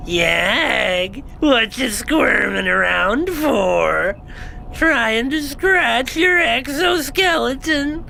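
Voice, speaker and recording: A performer voicing a calm but vengeful male insectoid alien pilot with a cold, mocking manner. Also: fairly high pitch, extremely slow, creaky, a little hiss or hum, full character voice. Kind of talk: audio drama acting.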